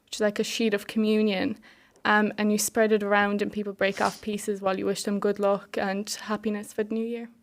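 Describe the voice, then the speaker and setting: A woman's voice: medium pitch, monotone, brisk, a little hiss or hum. A teenage female student answering casually as an interview guest. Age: teens.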